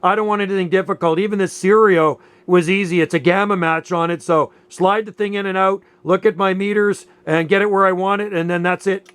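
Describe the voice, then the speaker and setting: A man in his late fifties with a high, smooth voice chats casually on two-way radio. His phrasing is folksy and easygoing, and his words come through clean and resonant. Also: steady tone, brisk, few pauses, fairly bright tone. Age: late 50s. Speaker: amateur radio operator and channel host.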